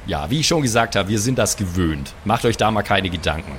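A German man with a low voice plays an old seafarer in a live tabletop game, gruff and chummy. Faint background noise sits under the recording. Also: animated, fairly quick, a bit bright. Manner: gruff, chummy, sailor talk with stage directions. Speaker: player voicing an old sailor. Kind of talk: improvised in-character roleplay.

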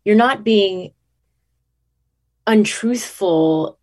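A woman actress talking casually in an interview, thinking aloud and restarting her sentences, her pitch jumping up when she makes a point. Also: extremely slow, a little mellow. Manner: rises in pitch when emphatic.

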